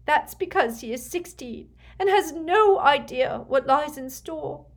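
Storybook role: desperate noblewoman and mother , acted by a woman reader, high, tearful, sobbing, very animated delivery. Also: measured pace. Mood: tearful, pleading. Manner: sobbing, beseeching. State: crying.

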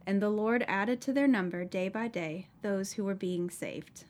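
A woman with a mid-range voice reads a scripture passage aloud. Her delivery is steady and even. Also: mid-range voice, measured pace. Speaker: scripture reader.

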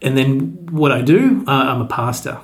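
A man's voice: mid-range voice, expressive, moderate pace. Easygoing man in his forties. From Australia. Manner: friendly, easygoing, with frequent restarts. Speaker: pastor, podcast guest. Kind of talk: casual interview.

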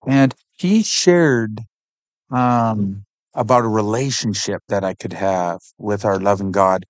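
A man from Canada, a podcast guest giving an earnest, heartfelt faith testimony and pausing to search for words. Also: on the low side, no echo.

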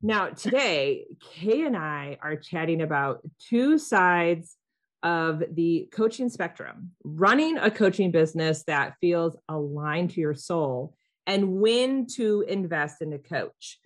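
A woman's voice: fairly low pitch, lively intonation, takes it slowly. Upbeat, chatty podcast host woman. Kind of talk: podcast interview.

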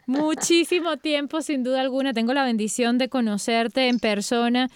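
A woman's voice: high-pitched, moderate pace, clean tone, dry recording. A woman presenting and interviewing on a radio-style show, reading a polished introduction and then asking questions. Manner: polished, expressive presenter delivery.

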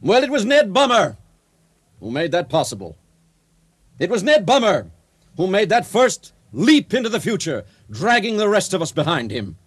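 A pompous, folksy speechmaker in a radio play. His voice sits a little high and swings widely in pitch as he holds forth theatrically about a spacefaring age. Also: wildly swooping pitch, moderate pace, husky, exaggerated voice. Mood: grandly ceremonial. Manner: grandiloquent, theatrical delivery. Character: pompous, folksy ceremonial orator of a spacefaring future. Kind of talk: comic speech in a radio drama.

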